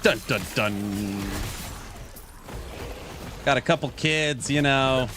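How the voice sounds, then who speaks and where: A man's voice: medium pitch, slow, over music. Jokey late-30s male game streamer. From California. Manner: jokey, reads viewer chat aloud and banters. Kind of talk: casual livestream chat while gaming.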